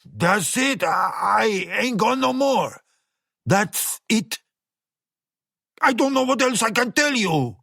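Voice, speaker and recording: A man's voice: sits high, expressive, a little slow, a bit bright, no room sound, clean studio sound, voice pushed up, full character voice. A man doing a stammering, scared fat manager. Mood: panicky. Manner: stammering, nervous, profane.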